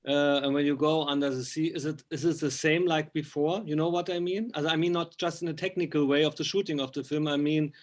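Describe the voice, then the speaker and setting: Male interviewer, middle-aged, German accent. Slightly high voice, hesitant and wordy, informal. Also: fairly even intonation. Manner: halting, wordy non-native phrasing. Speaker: interviewer, magazine publisher.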